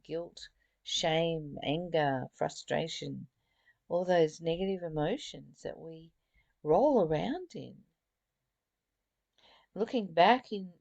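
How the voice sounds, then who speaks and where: A woman giving an informal spiritual lesson, reading aloud deliberately and adding chatty explanations of her own. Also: lowish voice, vocal fry, darkish tone, no echo. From Australia. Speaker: spiritual study host reading and explaining a book.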